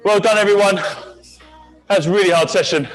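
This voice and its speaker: A man leads a high-intensity workout as its instructor. His voice sits a little high for a man. He mixes encouragement with countdowns and clipped commands, with music playing softly behind him. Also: fairly quick, rough recording. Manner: encouraging, counting down, barking short cues. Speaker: fitness instructor. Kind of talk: leading a live HIIT workout class.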